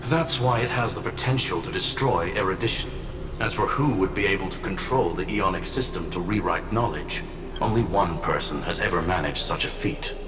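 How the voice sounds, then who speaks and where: Game role male actor: grave, knowing explainer, low voice, formal and measured, distant in long reverb over music. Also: lightly acted. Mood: grave, assured. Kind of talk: dramatic video game dialogue.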